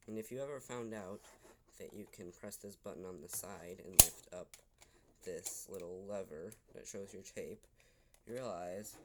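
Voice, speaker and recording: A man giving an informal explanation as a presenter, speaking haltingly in short broken phrases with pauses. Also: lowish voice, background noise. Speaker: video presenter explaining technology. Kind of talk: unscripted explainer, demonstrating to camera.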